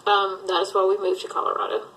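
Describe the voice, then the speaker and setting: Middle-aged woman, grew up in Alabama, rambling casual chat, lots of fillers, medium pitch. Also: monotone, fast talker, a bit bright, low-quality recording. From Southern US, Alabama. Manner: rambling, chatty, many fillers. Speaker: thrift reseller vlogger. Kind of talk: casual tag video chat.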